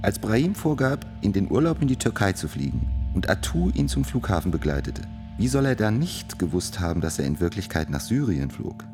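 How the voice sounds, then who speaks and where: Deep, animated, insistent male prosecutor. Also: a little fast, few pauses. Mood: insistent, accusing. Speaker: actor in a radio play. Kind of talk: radio play courtroom accusation. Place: studio. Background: music.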